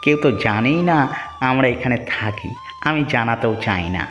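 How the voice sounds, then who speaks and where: A man's voice: medium pitch, lively intonation, unhurried, fairly bright tone, highs cut off. A man voicing a secretive, possessive village man in a cartoon, guarded and matter-of-fact. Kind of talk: cartoon story dialogue. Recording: long reverberant hall echo, music behind.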